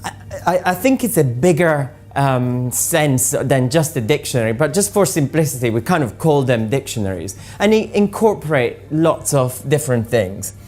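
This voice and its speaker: Very low-voiced woman explaining. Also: expressive, normal speed, darkish tone, soft background music. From Italy. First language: Italian. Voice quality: patient, explaining step by step with frequent ums. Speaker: software presenter. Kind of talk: explanatory talk about translation software.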